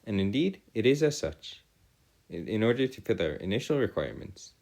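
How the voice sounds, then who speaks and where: A man with a fairly deep voice tutors through a worked problem. His intonation rises and falls widely from phrase to phrase. He speaks in formal, elaborate sentences and often stops to correct himself. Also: sing-song, fairly quick, vocal fry, a little mellow. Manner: formal, wordy phrasing with frequent self-correction. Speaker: math tutor. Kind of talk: video lesson working through calculus problems aloud.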